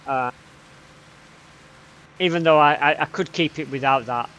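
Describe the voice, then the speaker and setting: A man's voice: fairly high pitch, measured pace, fairly bright tone, highs cut off, a little hiss or hum. A man with a Yorkshire accent chatting casually while playing a farming game, rambling and often tagging on "right?". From Yorkshire, England. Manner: chatty, rambling, tag questions. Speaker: gaming YouTuber. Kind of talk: casual rambling commentary over gameplay.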